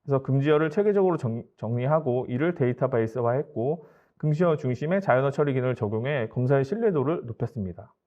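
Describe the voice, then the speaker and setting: A man's voice: medium pitch, expressive, fast, dark tone, highs cut off. A man giving a formal, polite and matter-of-fact business tech presentation as a company presenter, explaining slides step by step.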